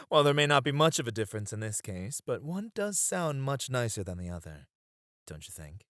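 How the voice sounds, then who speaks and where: A man's voice: medium pitch, sing-song, normal speed, fairly bright tone, no echo, clean studio sound. A man playing a flirty, doting and cheekily possessive club host in an ASMR roleplay, suave and coaxing. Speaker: voice actor.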